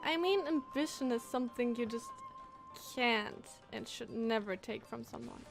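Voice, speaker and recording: Girl streamer w high voice reacting emotionally to a game, casual chat, faint music behind. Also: normal speed, vocal fry. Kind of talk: casual gameplay commentary.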